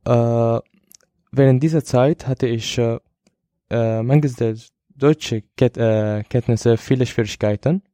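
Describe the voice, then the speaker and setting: Slow, halting man with Syrian accent. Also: mid-range voice, warm tone, no echo, studio quality. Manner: halting, searching for words in a second language. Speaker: podcast participant. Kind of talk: personal account in a podcast conversation.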